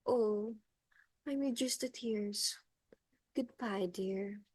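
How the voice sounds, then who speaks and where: A woman's voice: medium pitch, very slow, a little mellow, husky. A woman playing a haughty, fretful society hostess in a stage comedy, exclaiming imperiously and melodramatically. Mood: mock heartbreak. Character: haughty, fretful society matron hosting a dinner party. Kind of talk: theatrical stage comedy acting.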